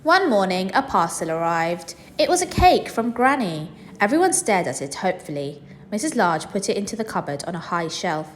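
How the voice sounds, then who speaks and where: A woman narrates a children's storybook as the reader and host. Her voice sits at a middle pitch and rises and falls in a very animated, expressive storytelling style. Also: moderate pace, slight background noise.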